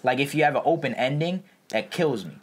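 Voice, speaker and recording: A man's voice: medium pitch, animated, a little fast. A man chatting casually and opinionatedly as a podcast co-host, in slangy, informal speech. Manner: informal, slangy, explains by acting out imagined reactions.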